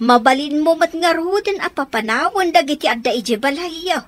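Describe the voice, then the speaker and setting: An actress playing a talkative, outspoken confidante in a radio drama, with an Ilocano accent. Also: female voice, high-pitched, lively intonation, measured pace. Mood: teasing, curious.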